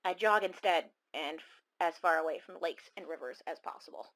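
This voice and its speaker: A woman's voice: fairly low pitch, a little fast, a bit bright. A woman playing a shaken caller who recounts a monster encounter in a dramatic, confiding first-person radio horror story. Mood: haunted. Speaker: caller telling her story.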